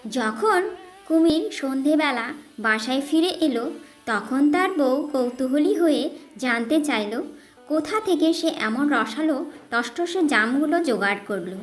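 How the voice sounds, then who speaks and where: A woman's voice: sits high, animated, a little slow. A woman storyteller reading a scripted narration of a children's tale. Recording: music behind the voice.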